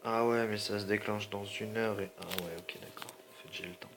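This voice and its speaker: A man's voice: on the low side, fairly even intonation, brisk, very noisy. A man with a slightly sore throat and a stuffy nose, rambling in slangy, apologetic commentary over his gameplay. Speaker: gaming channel host.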